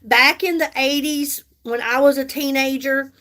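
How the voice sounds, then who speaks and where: Woman, 50s, livestream makeup host; high voice, unhurried, chatty, talks to viewers by name. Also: steady tone, fairly bright tone. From United States. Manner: chatty, reads and answers viewer comments, repeats herself for emphasis. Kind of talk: casual livestream chat while doing makeup.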